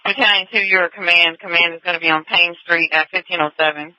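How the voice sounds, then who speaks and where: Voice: medium pitch, fairly even intonation, fast talker, sharp tone, dry recording, muffled recording. An emergency dispatcher on two-way radio, reading back units and times in a clipped, matter-of-fact, formulaic way. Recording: band-limited emergency scanner radio.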